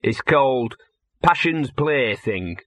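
A man acts out a small, scruffy storybook dog who can talk. He is a streetwise know-all, muttering his sarcasm in a level, sardonic way. The sound is bright and very dry. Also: medium pitch, expressive, slow, no room sound. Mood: smug. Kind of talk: audiobook character voice.

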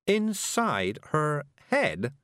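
A man voicing a prim, polite older witch who speaks slowly and carefully. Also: fairly high pitch, wildly swooping pitch, a bit bright, dry recording, clean studio sound, pitched above the performer's own voice, heavily acted. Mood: aghast. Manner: slow, careful, genteel.

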